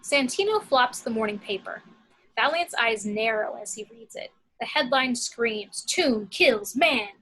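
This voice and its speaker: A woman narrates a script as the reader of action lines. Her voice is on the high side and clearly bright, with a forward edge. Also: lively intonation, unhurried, poor audio. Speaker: narrator reading action descriptions.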